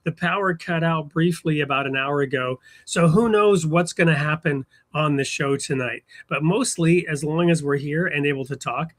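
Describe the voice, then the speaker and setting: A man's voice: a little high, fairly bright tone. Chatty male show host. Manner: friendly and chatty, with fillers. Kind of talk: podcast interview hosting.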